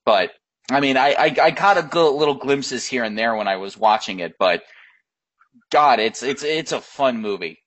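Male co-host, mid-pitch, loose rambling delivery, casual film talk. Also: expressive, normal speed, a bit bright, low-quality recording. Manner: rambling, many fillers and restarts. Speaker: podcast co-host. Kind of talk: casual chat about films.

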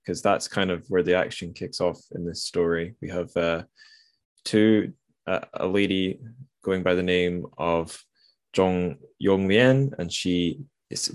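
Male podcast host, deep voice, dry close sound, informal and halting book talk. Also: no echo. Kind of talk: literary podcast conversation.

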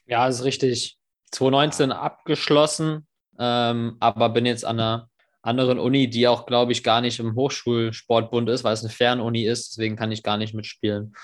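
A man's voice: mid-range voice, level tone, moderate pace. A young man joining a podcast as a guest, giving brief, matter-of-fact answers. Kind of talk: casual podcast chat.

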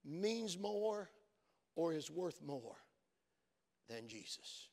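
A man's voice: on the high side, sing-song, very slow, a little mellow. Earnest preacher man in a reverberant hall. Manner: earnest storytelling that builds to fervent preaching. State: voice worn from many phone calls. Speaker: pastor. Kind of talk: sermon. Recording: long reverb of a church hall.

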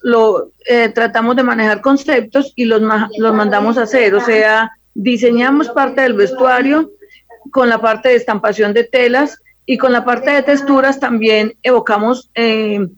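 A woman's voice: a little high, fairly even intonation, measured pace, crowd noise. Colombian female designer as interview guest, level intonation, somewhat dull lo-fi sound. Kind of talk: spontaneous interview answers.

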